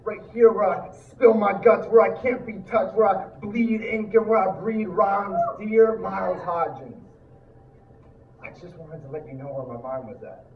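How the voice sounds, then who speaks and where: A young man performing spoken word poetry at a live slam, delivering his lines in an earnest, dramatic, deliberate way. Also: sits high, fairly even intonation, vocal fry. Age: young adult. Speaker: slam poet. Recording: echoey room, distant muffled mic, noisy.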